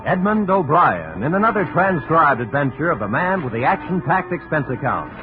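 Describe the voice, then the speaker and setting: Male 1950 radio announcer, scripted and animated delivery, thin narrow-band vintage recording. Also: a little high, normal speed, loud music behind the voice. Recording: tinny old radio recording.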